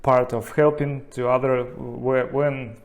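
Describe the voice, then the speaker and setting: A man's voice: mid-range voice, level tone, slow, gravelly. A man interviewing a guest, not a native English speaker, searching for words with many fillers. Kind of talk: interview questions.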